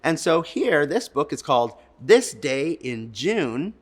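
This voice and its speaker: A man hosting a children's storytime, reading picture books and chatting in a gentle, encouraging way. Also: mid-range voice, sing-song, slow pace, slightly rough.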